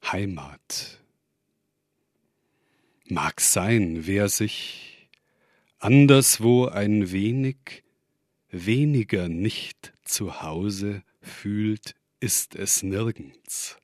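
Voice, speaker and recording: A man recites poetry in a fairly low voice. His pace is extremely slow, with each short phrase set apart and measured. The sound is dry, with hardly any room around it. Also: expressive, darkish tone, no room sound. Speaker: poet reading own work. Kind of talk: poetry reading.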